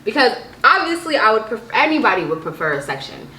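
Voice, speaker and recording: A woman in her twenties chatting casually and telling stories in a playful, animated, slangy way. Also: on the high side, quick pace. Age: 20s. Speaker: vlog guest telling stories with sibling. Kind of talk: casual chat, storytelling. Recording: echoey room with background noise.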